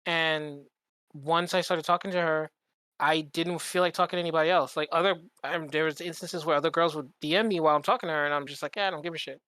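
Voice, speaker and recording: A man's voice: fairly high pitch, steady tone, fast talker, pushed and bright, clear, resonant tone, no room sound. Candid man chatting, close dry sound. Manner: rambling, candid, freely swearing. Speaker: podcast co-host. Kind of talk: casual chat.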